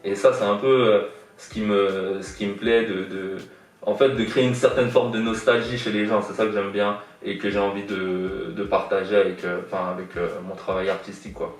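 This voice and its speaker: A man's voice: lowish voice, level tone, normal speed. Hesitant young man, echoey and distant. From born in Iran, raised in France. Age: young adult. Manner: hesitant, many fillers and repeated words. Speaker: guest artist. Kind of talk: casual interview answers. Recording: echoey room, distant from mic.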